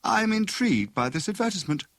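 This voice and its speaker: A man voicing a witty, sharp-tongued young wife with a withering, coaxing and brisk manner in an audiobook. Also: high voice, big pitch swings, dry recording, pitched above the performer's own voice, heavily acted. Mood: keen, brisk.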